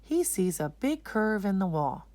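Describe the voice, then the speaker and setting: A woman's voice: medium pitch, very animated, measured pace, dark tone, no echo. A woman narrating a children's storybook as a read-aloud.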